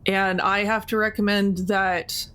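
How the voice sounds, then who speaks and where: A woman playing a grave, pragmatic government agent who reports in formal, measured phrases. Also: medium pitch, level tone, fairly bright tone, clean tone, natural voice. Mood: grave, resigned.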